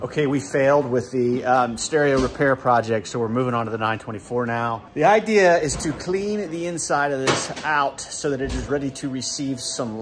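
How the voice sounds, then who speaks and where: A man's voice: mid-range voice, a little hiss or hum. A man hosting a car vlog, talking casually and informally as he explains his project. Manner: informal, slangy. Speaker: car channel host working on his own Porsche builds.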